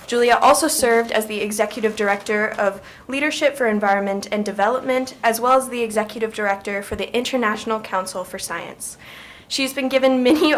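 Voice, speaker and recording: A woman's voice: mid-range voice, a little hiss or hum. A young woman, a student ambassador, reading a prepared introduction of the panel chair at a live event, with small slips. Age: young adult. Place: event hall.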